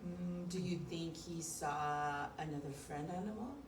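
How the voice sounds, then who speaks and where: A woman's voice: sits low, a little slow. A woman speaking as a preschool teacher, informally explaining things to children and rephrasing them simply. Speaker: preschool teacher co-hosting a video lesson. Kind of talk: informal lesson chat for children. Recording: echoey room, distant mic, faint noise.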